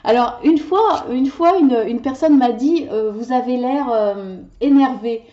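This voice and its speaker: A woman reading tarot cards in a weekly video, passionate and animated, tripping over her words as her ideas run ahead. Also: high voice, a little slow, muffled recording. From Italian heritage. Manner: animated, talks with her hands. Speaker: tarot card reader.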